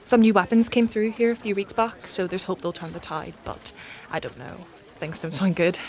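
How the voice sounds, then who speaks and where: An actress playing a helpful, matter-of-fact female soldier in a dark fantasy audio drama, informal and obliging in manner. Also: medium pitch, moderate pace, a little hiss or hum, lightly acted. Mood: resigned. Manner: plain-spoken, informal, obliging.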